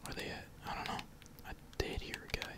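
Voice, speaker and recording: A gamer whispering slangy, laid-back ASMR commentary while playing, with casual swearing. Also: fairly quick. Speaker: gaming streamer.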